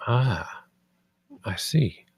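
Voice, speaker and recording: A downcast, guarded spaceship wreck assessor, read by a man at a table read. He speaks slowly in short, subdued replies, with a middling pitch. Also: very animated, a little mellow, husky, no room sound, exaggerated voice. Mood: wary.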